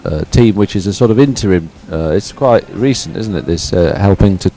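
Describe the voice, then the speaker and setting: A man's voice: deep voice, a little fast, warm tone, slight background noise. A man co-commentating live on a sporting event in a conversational, unscripted style.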